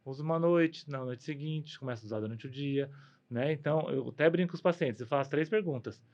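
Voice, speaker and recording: A man's voice: on the high side, brisk, darkish tone. Slightly muffled Brazilian man explaining health advice. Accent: Brazilian Portuguese. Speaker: guest doctor, ear, nose and throat specialist.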